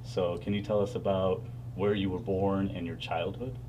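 Deep-voiced male interview host over hum. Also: flat delivery, moderate pace. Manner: friendly, polite questions with fillers. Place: studio set. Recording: clear hum behind.

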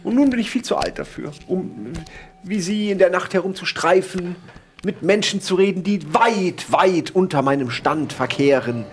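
A man voicing a world-weary, snobbish old aristocrat who talks in a halting, condescending, aloof way. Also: high voice, faint music behind.

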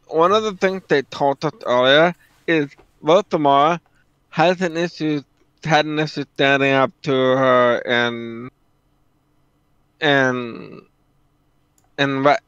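A man co-hosting a stream in casual chat, halting as he searches for words. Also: a little high, a bit bright, clean tone, dry recording, dull audio. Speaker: stream co-host.

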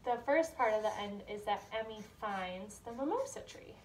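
A woman's voice: mid-range voice, lively intonation, normal speed, slight background noise, rough recording. A young woman teaching kindergarten from home, explaining simply and asking questions as if the children answer. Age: young adult. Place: small room at home. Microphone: device camera a step or two away.

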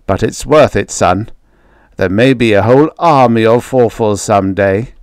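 A man playing a domineering father in a story, harsh, forceful and impatient. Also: fairly low pitch, measured pace, dry recording, clean studio sound. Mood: pleased. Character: stern, angry, domineering father and robot maker.

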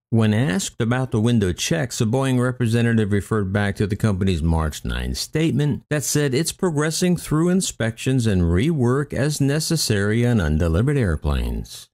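A man's voice: medium pitch, darkish tone. A man narrating aviation news from a script, reading reports aloud with brief comments of his own. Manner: reads news reports aloud with occasional asides. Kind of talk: scripted news narration read over footage.

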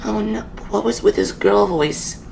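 A woman's voice: mid-range voice, measured pace, vocal fry, background noise. A woman voicing a frightened young female animatronic chick in an amateur horror drama, breathless, melodramatic and pleading, with music behind her. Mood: anxious, grieving.

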